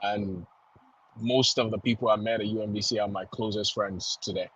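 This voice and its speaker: A man's voice: on the low side, fairly even intonation, moderate pace, a bit bright, husky, no echo, rough recording. A man with a Nigerian accent chatting informally as an interview guest, often adding "you know" and "man". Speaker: soccer club founder, podcast guest. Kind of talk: casual interview chat.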